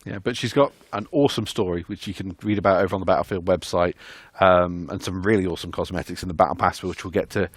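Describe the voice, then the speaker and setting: A man's voice: low-pitched, fast, hardly any pauses, breathy edge, dry recording, clean studio sound. A man hosting a casual developer discussion, asking long conversational questions with enthusiastic phrasing. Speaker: host, interviewer.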